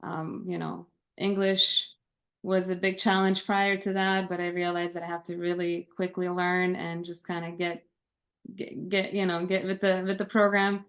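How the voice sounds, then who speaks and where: A woman's voice: lowish voice, moderate pace. A woman in her thirties from Lithuania, a Lithuanian native speaker, telling her story in a casual interview over a video call. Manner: steady, many fillers and restarts. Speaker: guest, Army veteran. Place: home office.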